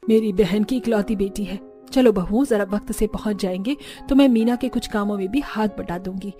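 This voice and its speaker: A woman's voice: medium pitch, normal speed, mellow, soft background music, lightly acted. A woman voicing a middle-aged mother-in-law in a cartoon, motherly and given to instructing. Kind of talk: Hindi cartoon voice acting.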